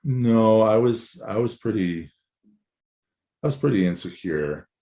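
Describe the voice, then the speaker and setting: Man, forties, raspy, hoarse, very deep, gravelly, loose rambling chat. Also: animated, measured pace, dark tone. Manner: rambling, loose, swears casually. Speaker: musician guest. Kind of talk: casual chat.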